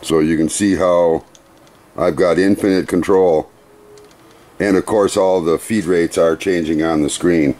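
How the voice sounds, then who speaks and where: Middle-aged male demonstrator, workshop, low pitch, slow methodical plain delivery. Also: fairly even intonation, darkish tone. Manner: unhurried, methodical, plain-spoken with small restarts. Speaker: CNC machine demonstrator. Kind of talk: hands-on equipment demonstration. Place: workshop beside a CNC router.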